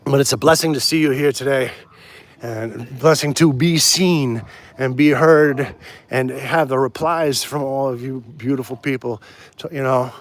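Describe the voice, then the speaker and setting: A man's voice: mid-range voice, measured pace. A man livestreaming on a walk outdoors, rambling casually with many restarts and answering viewer comments. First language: English. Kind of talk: casual live stream chat.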